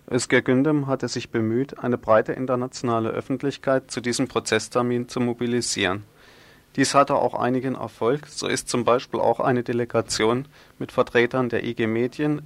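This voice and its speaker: A man presenting radio news, reading scripted political commentary in long, formal sentences. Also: medium pitch.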